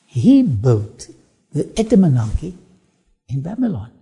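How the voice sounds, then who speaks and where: A man with an Afrikaans accent presents a travel documentary, leaning into each phrase with slow emphasis. His voice sits a little high but sounds dark and husky, with a gravelly edge. He drifts into sermon-like asides. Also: huge pitch swings.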